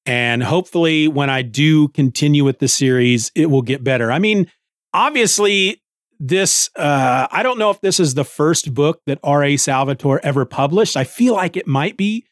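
A man's voice: on the high side, lively intonation, normal speed, no room sound. Middle-aged man podcasting, dry close sound. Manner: rambling, conversational, thinking aloud. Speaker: podcast host. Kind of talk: casual solo book review chat.

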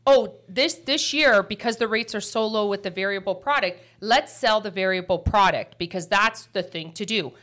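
A middle-aged woman hosts a podcast in a relaxed, chatty way. Her voice sits at an ordinary middle pitch. She tells stories with lively energy and plenty of "you know" and "um". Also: moderate pace, hardly any pauses. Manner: chatty, animated storytelling with frequent fillers. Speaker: mortgage podcast host. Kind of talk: casual chat.

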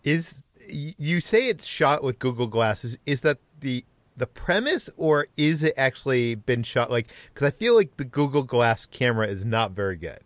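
A man hosting a podcast in a casual, low-key way, passing questions to his co-hosts. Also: animated, moderate pace, no echo. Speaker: podcast host. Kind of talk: casual chat.

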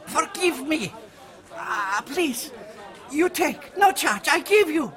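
High-pitched, servile street seller. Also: male voice, big pitch swings, takes it slowly, bright, forceful tone, full character voice. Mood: frightened, pleading. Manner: wheedling, servile, broken English. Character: humble, wheedling Egyptian street trinket seller, eager to please. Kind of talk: radio drama acting. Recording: busy crowd noise behind the voice.

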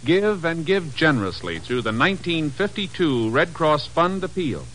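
A man announcing and narrating a 1950s radio programme in a scripted, deliberate, dramatic manner. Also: a little high, sing-song, a bit bright. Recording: noisy old 1950s radio recording.

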